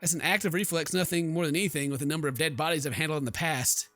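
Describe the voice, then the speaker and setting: A man's voice: fairly high pitch, racing, a bit bright, dry recording, soft background music. A man doing let's play commentary, reading game text aloud dramatically and stumbling now and then. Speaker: gaming YouTuber.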